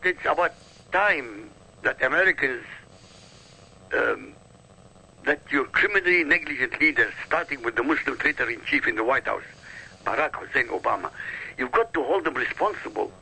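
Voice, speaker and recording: A man's voice: mid-range voice, sing-song, normal speed, gravelly, pauses often, sharp tone, husky, poor audio. A man speaking as a radio guest, a native Arabic speaker giving polemical commentary in an emphatic, oratorical manner.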